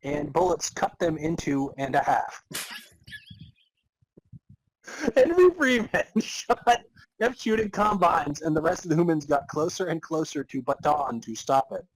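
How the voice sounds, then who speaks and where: A man's voice: on the high side, expressive, moderate pace, breathy edge, no room sound, poor audio. A man narrating a comic story in a theatrical way, prone to breaking into laughter. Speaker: narrator and podcast host. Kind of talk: dramatic reading of comic fan fiction.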